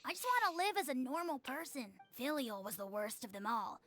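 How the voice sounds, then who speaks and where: A woman playing a solemn, loyal child of a secretive orphanage, earnest and accusing, reciting deliberately in a dramatic video game style. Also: high-pitched, animated, fairly bright tone, faint music behind.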